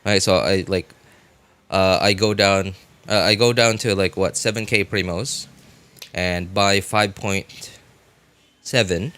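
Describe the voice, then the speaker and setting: A man live streaming a game, chatting casually with viewers and thinking aloud in halting, informal bursts. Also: low voice, steady tone, fairly bright tone, clear, resonant tone. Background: music.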